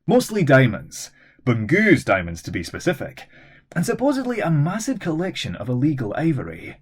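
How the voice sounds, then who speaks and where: A man's voice: a little high, huge pitch swings, normal speed, breathy edge, pitched above the performer's own voice, exaggerated voice. A man voicing an earnest, know-it-all young man in a children's audiobook, explaining things emphatically.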